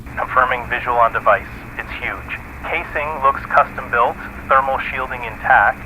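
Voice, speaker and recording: A man's voice: steady tone, moderate pace, bright, forceful tone. A man playing a focused bomb technician in a military radio drama, clipped and procedural. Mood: focused, tense. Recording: noisy radio with engine-like rumble.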